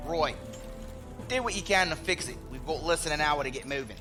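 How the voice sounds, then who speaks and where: A man's voice: a little high, animated, a little fast, music in the background, close to an everyday voice. An actor playing a breezy, friendly male crewman in an audio drama, casual and familiar. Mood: urgent.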